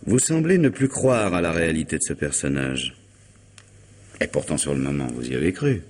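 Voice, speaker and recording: An actor playing an urbane, probing lawyer in a vintage French radio drama, speaking in a measured, formal, questioning manner. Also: male voice, rumbling low, lively intonation, a little mellow, slight background noise. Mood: wry, insistent. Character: urbane, probing male lawyer and family friend.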